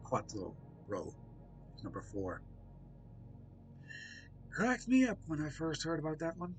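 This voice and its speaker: A man live-streaming, chatting casually with viewers, reading chat aloud with a soft, soothing voice and self-deprecating humour. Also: fairly high pitch, huge pitch swings, a little slow, faint music behind.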